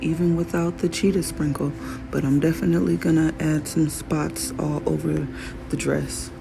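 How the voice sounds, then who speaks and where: A raspy woman vlogging casually about her own work, admiring it out loud and laughing often. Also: sits low, little pitch movement, normal speed, noisy background. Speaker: fashion designer vlogger. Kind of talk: casual show-and-tell vlog. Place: workshop in Arizona. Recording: music playing behind the voice.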